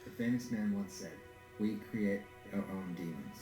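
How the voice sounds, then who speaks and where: A man voicing a dry, world-weary adult ninja narrating in a rambling, deadpan way in a comedic fan dub. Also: on the low side, moderate pace, echoey room, music in the background, natural voice, poor audio. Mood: deadpan musing. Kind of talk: comedic voice acting.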